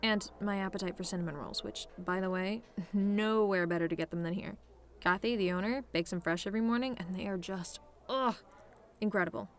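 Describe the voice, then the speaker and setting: A woman acts out a tomboyish female demon with a medium-pitched voice. She is sardonic and relaxed, needling the listener with casual taunts, as in an audio roleplay. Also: brisk, slight background noise, lightly acted. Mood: fond, casual. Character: sardonic tomboy female demon, relaxed, teasing, secretly fond of simple pleasures. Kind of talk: scripted roleplay monologue to a listener.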